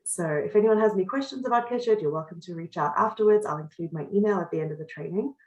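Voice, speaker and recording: A woman's voice: fairly low pitch, fast, darkish tone, roomy sound, low-quality recording. A woman from South Africa with a Cape Town accent, leading an educational workshop in an upbeat, welcoming way. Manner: upbeat, welcoming, frequent ums. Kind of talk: friendly presentation over video call.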